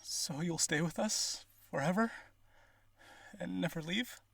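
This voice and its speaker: A man's voice: high voice, measured pace, creaky, a bit bright, slightly rough, no room sound, full character voice. A man playing a rich, devoted vampire aristocrat in a roleplay audio, earnest and pleading, with gushing, hesitant speech. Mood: hopeful.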